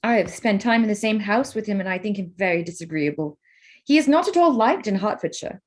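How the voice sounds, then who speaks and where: A woman playing a candid, spirited young gentlewoman in a staged period drama reading, forthright and earnest. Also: mid-range voice, lively intonation, a little fast, close to an everyday voice. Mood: frank.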